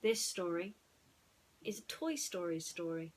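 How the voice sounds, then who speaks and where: A woman storyteller talking in a musing, unhurried way, with pauses, as in bedtime reading. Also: fairly low pitch, lively intonation.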